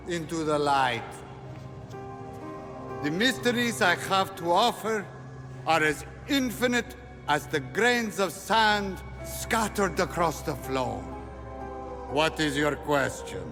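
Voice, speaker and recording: A man's voice: fairly high pitch, lively intonation, takes it slowly, long pauses. A man voicing a spaced-out, giggly temple priest who rambles dreamily, in a comic video game cutscene. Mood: mock solemn. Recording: long reverb, music behind.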